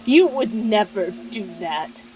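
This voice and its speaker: A woman's voice: mid-range voice, lively intonation, slow pace, soft background music, low-quality recording. A woman playing a frightened, grieving widow in an audio horror drama, hesitant and pleading, repeating herself. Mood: disbelieving, distressed.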